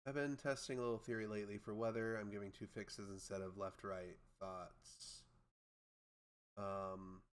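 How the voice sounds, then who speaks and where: A man with a lowish voice talks casually as he reads and answers viewer messages. He sounds like a working air traffic controller, informal and unscripted, reasoning through things out loud. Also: little pitch movement, moderate pace, smooth. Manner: informal, thinking aloud, imitates beeps and radio calls.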